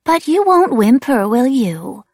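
A woman voicing a sinister, demented female wraith who taunts in a breathy, whispering way with sing-song repetition. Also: sits high, very animated, unhurried, darkish tone, no room sound, studio quality, pitched above the performer's own voice, heavily acted. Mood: menacing glee.

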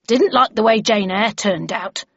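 A woman's voice: on the high side, lively intonation, a little fast, breathy edge, dry recording, dull audio, voice pushed up. Woman acting an arrogant, indignant middle-aged man. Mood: hostile. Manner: challenging, indignant.

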